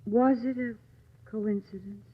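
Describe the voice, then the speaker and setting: A woman's voice: a little high, steady tone, takes it slowly, husky. An actress playing an anxious young woman in an old-time radio drama. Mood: concerned. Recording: dull, noisy old radio recording.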